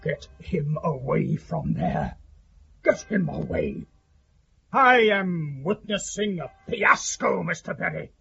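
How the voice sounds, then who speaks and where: Over dull audio with music beneath comes an imperious Victorian prison governor. He is formal and commanding, slow and emphatic, with a husky edge, in period radio drama style. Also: fairly high pitch, very animated, bright, forceful tone, heavily acted. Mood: outraged.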